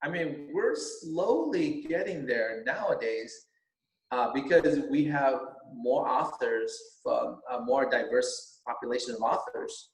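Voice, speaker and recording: A middle-aged man whose first language is Vietnamese, a teacher running for school board, speaking earnestly with many halting restarts. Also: medium pitch, lively intonation. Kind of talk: earnest interview talk. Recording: roomy echo.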